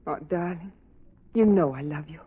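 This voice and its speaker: A woman's voice: low voice, normal speed, breathy edge. An actress playing a tender, anguished young woman in love, pleading melodramatically in an old radio drama. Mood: tender, guilty. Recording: muffled, narrow-band old radio recording.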